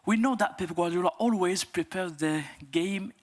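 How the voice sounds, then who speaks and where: A male sports journalist from Morocco speaks. His voice sits somewhat high as he addresses the coach with a prepared question. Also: moderate pace. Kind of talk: asking a press conference question. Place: indoor press conference room.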